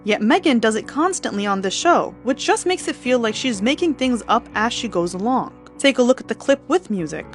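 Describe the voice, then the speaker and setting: Chatty young woman, slightly high voice. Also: expressive, brisk, hardly any pauses, faint music behind. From United States. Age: 20s. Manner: chatty, skeptical. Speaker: commentary host. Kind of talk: casual commentary.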